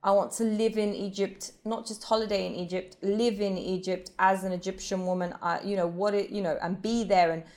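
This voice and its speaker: A woman's voice: mid-range voice, fairly even intonation, brisk, hardly any pauses, darkish tone. A woman in her thirties, a professional belly dancer, telling her life story frankly and emphatically in an interview, often restarting her sentences. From England. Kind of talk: candid personal storytelling in an interview.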